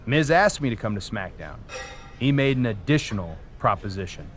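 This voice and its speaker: An actor playing a competitive, self-assured wrestler with an assertive, earnest manner in a video game cutscene. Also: male voice, fairly low pitch, sing-song, normal speed, a little hiss or hum. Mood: matter-of-fact.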